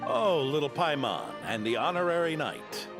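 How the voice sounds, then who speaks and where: An actor voicing an elderly, kindly knight in a video game, with a warm, measured delivery over game music. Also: male voice, fairly high pitch, big pitch swings. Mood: calm. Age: older.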